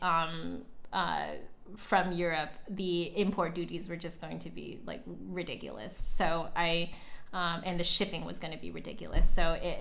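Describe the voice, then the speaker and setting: A woman's voice: fairly low pitch, a bit bright. Chatty woman hosting a casual livestream. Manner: giggly, chatty, rambling asides. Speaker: livestream host, musician. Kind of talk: casual livestream chat, replying to viewers.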